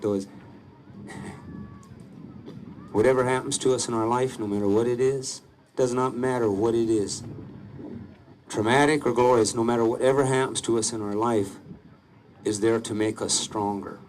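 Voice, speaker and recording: A middle-aged man, a poet, performing spoken-word verse on an outdoor stage into a handheld mic, slow and deliberate. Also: mid-range voice, big pitch swings, darkish tone, slight background noise. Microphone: handheld mic held close. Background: live band with electric guitar.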